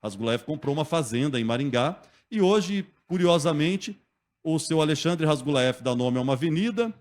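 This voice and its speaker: A man telling local history as a guest in a radio studio, lively and conversational, often checking in with the listener. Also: fairly high pitch, moderate pace. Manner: lively storyteller, acts out quotes, often asks "né?".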